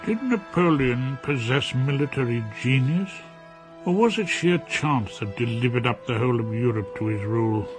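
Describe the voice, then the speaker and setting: An actor gives grave, scripted historical narration as a British man in a radio play. His voice is medium in pitch, with prominent music behind it. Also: lively intonation, normal speed, close to an everyday voice. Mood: grave, measured.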